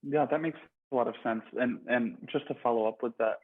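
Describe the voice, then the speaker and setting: A man's voice: medium pitch, a little fast. A man moderating a discussion, circling hesitantly toward his questions as he thinks them through. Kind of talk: thoughtful discussion, posing questions. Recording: thin phone-like call audio.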